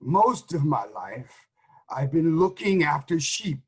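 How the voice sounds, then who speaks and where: A man playing a reluctant, evasive old shepherd in a Greek tragedy reading, with a husky voice and halting, guarded delivery. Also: fairly high pitch, sing-song, full character voice.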